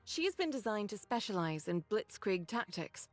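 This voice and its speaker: An actress playing a composed squad leader in a game cutscene, giving briefings in a measured, formal, authoritative way. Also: female voice, mid-range voice, very animated, soft background music, natural voice. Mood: composed, explanatory.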